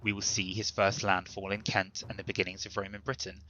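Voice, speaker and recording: A man's voice: on the low side, flat delivery, a little fast, sharp tone, dull audio, a little hiss or hum. A man delivering a scripted history introduction in a formal, emphatic, lecturing way. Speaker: presenter introducing a dramatic reading.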